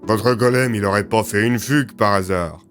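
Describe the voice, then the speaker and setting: Man playing a blunt, low-voiced police captain. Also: moderate pace, a bit bright, soft background music, lightly acted. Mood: suspicious, blunt. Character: gruff, suspicious male police captain.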